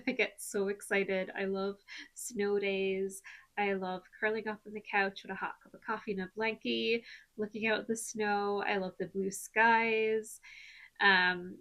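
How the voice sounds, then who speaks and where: A woman in her thirties from Ireland with an Irish accent, chatting in a rambling, relaxed way with lots of ums for a knitting vlog. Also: mid-range voice, vocal fry. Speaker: knitting vlogger. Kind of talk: chatty podcast-style vlog.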